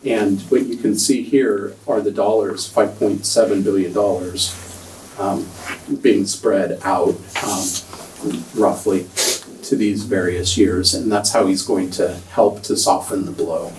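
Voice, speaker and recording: A soft-spoken man giving a budget presentation at a school board meeting, hesitant with many ums. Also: on the low side, steady tone, measured pace. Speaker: school district finance presenter. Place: large meeting room. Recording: echoey room, distant mic, faint noise.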